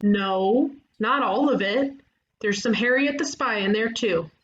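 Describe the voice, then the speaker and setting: A woman voicing a cocky, excitable young amateur detective in a cartoon comedy, with an emphatic know-it-all manner. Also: a little high, unhurried, natural voice. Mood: smug, cheerful. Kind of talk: animated comedy table read. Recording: muffled, clearly noisy home recording.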